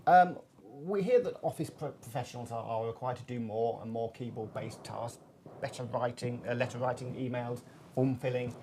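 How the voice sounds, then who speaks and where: A man presents as the host of a business web seminar. His mid-pitched voice delivers scripted introductions and questions, with some noise behind it. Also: moderate pace, warm tone.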